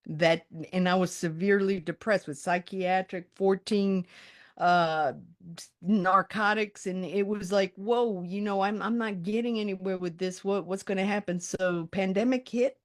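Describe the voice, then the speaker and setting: A woman's voice: medium pitch, fairly even intonation, unhurried. A woman in her mid-sixties telling her personal story in a candid, conversational way, often acting out her own thoughts. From born in Colombia, raised in the United States from age five. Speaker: writer and resilience coach.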